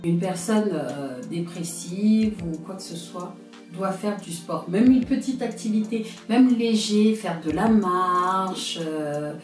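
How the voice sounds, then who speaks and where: A woman in her thirties talks through a personal story, pausing and searching for her words. Her voice sits a little low and reaches the mic from some distance, in a roomy space. Soft music plays faintly underneath. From France. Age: 30s. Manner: reflective, hesitant, searching for words. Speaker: guest. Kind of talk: personal testimony in an interview.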